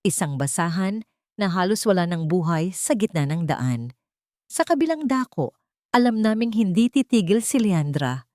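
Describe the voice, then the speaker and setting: A woman narrating a dramatic first-person story in a solemn, deliberate, emotive way. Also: fairly low pitch, sing-song, darkish tone, no room sound, clean studio sound.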